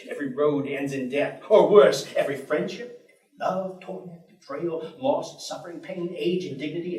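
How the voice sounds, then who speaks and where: A man's voice: a little high, lightly acted. A man on stage playing a bitter, articulate atheist professor who longs for death, in a theatrical declamatory delivery. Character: bleak, bitter, intellectual atheist male professor longing for death. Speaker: stage actor in a play. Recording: echoey theatre stage, distant microphone.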